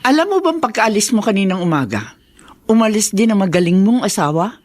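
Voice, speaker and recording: A woman's voice: mid-range voice, sing-song, brisk, darkish tone, natural voice. An actress in a radio drama playing a bitter, meddling older mother-in-law who speaks with scornful, insinuating melodrama. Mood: urgent, accusing.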